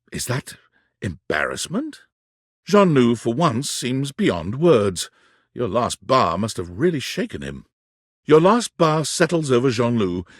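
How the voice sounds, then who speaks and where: An actor playing a wry, dramatic video game narrator, reading scripted narration in a husky voice. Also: male voice, mid-range voice, dry recording, clean studio sound, full character voice.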